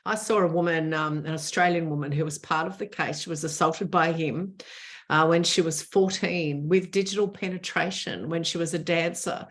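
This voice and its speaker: A woman talks in a lowish voice. She speaks earnestly and with emphasis, often doubling words to drive a point home. Her delivery is conversational, as a guest answering in an interview. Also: fairly even intonation, moderate pace.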